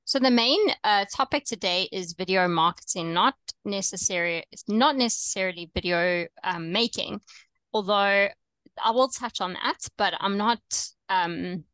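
A young woman with a South African accent, coaching online teachers over a video call in a relaxed, chatty way with frequent ums. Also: medium pitch, measured pace, dry recording, low-quality recording. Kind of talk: webinar presentation.

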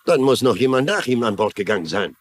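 Husky, brusque police lieutenant. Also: male voice, mid-range voice, big pitch swings, fast. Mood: businesslike. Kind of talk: dubbed TV crime drama acting.

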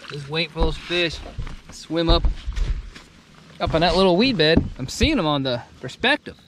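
A man's voice: a little high, sing-song, normal speed. A young man talking casually while fishing from a boat on a lake, filmed by an action camera mounted on the boat, with wind and water noise around him. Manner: chatty, thinking aloud while fishing. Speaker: angler filming himself. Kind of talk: casual fishing vlog commentary. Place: outdoors on a bass boat on a lake.

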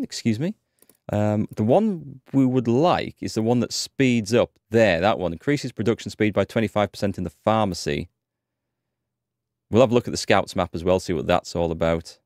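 A man's voice: lowish voice, darkish tone, dry recording, studio quality. A man in his thirties with a North Yorkshire accent, chatting casually and thinking aloud while playing a game on a headset mic. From England. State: slightly under the weather with a cold. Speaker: gaming streamer. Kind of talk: casual let's-play commentary. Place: home streaming room, indoors.

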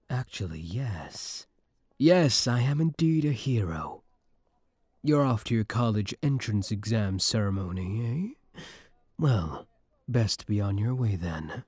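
A man's voice: on the low side, darkish tone, breathy edge, no echo, studio quality, exaggerated voice. A young man playing an obsessive, scheming villain who feigns kindness, in an intimate, theatrical audio roleplay. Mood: feigned innocence. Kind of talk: ASMR audio roleplay, intimate dramatic acting.

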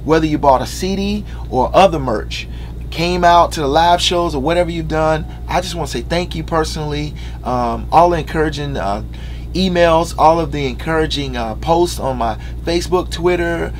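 A man's voice: on the high side, moderate pace, slight background noise. A man in his thirties making an earnest, upbeat announcement to fans from a small living room, partly reading from notes with fillers. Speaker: musician addressing fans. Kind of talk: casual direct-to-camera announcement.

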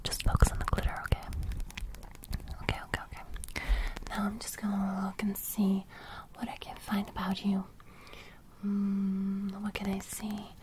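A young woman playing a gentle sleep fairy in a whispered ASMR roleplay, murmuring breathy, repetitive reassurances close to the microphone. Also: medium pitch, completely flat delivery, a little slow, close to an everyday voice. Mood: soothing. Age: young adult. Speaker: ASMR creator. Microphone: close studio microphone.

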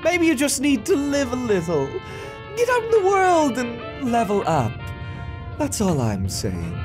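Sassy male elf wizard for comic dub played by a woman: flippant drawl, hugely animated intonation. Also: sits high, measured pace, over music, full character voice. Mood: breezy coaxing. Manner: breezy, sarcastic. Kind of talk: comic dub character acting.

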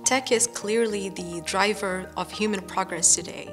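A young woman from Morocco, a data scientist, speaking earnestly in a seated interview with measured pauses and some "uh"s. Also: mid-range voice, faint music behind. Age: young adult. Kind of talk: corporate interview. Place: bright furnished indoor room.

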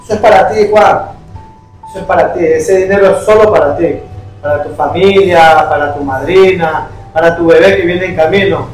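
A man interviewing and gently questioning a guest, often repeating words as he goes. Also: on the high side, normal speed. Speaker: interviewer giving money. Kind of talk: scripted charity interview. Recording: echoey room with music behind.

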